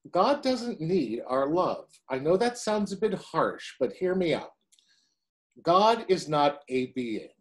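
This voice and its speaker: A man in his sixties, a pastor, preaching a sermon from home to a webcam in a chatty way, with wry asides. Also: fairly high pitch.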